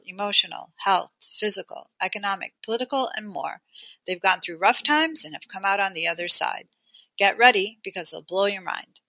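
A woman's voice: mid-range voice, sharp tone, rough recording. A woman hosting a podcast, reading an intro and interviewing a guest in an encouraging way. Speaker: podcast host. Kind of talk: scripted intro and casual interviewing.

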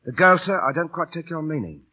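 A working-class street entertainer in a vintage radio drama. His voice is husky and fairly high, his manner deferential and fussily protective. The recording is dull with age. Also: big pitch swings, brisk. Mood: deferential, guarded. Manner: polite, cautious, fussing. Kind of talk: 1930s-40s radio acting.

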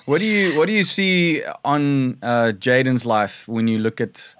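A man hosting a casual interview, chatty, laughing often and asking halting questions. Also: medium pitch, fairly even intonation, noisy background. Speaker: interview host.

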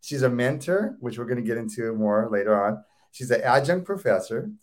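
A man's voice: mid-range voice, animated, normal speed. Eager man hosting an interview. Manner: enthusiastic, repeats and restarts words. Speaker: host. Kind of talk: casual interview.

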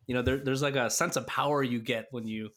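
A young man hosting a casual sports podcast, easygoing and quick to laugh, stumbling over his words now and then. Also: mid-range voice, steady tone, fairly bright tone. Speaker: podcast host.

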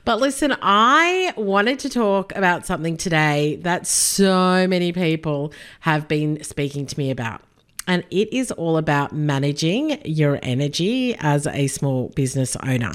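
A woman's voice: deep voice, lively intonation, measured pace. A woman with an Australian accent hosting her podcast in a chatty, upbeat way, addressing the listener directly, her voice a little nasal from illness. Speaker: podcast host. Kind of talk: casual solo podcast talk.

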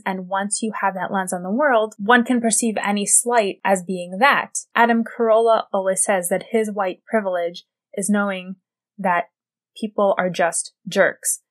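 A soft-spoken woman delivering a solo opinion commentary in a measured, reflective way. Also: mid-range voice.

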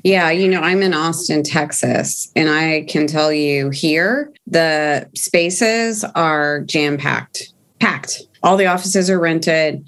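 A woman's voice: sits low, slow, a bit bright. A woman hosting an interview podcast, chatting casually and speaking with enthusiasm and emphasis. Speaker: podcast host.

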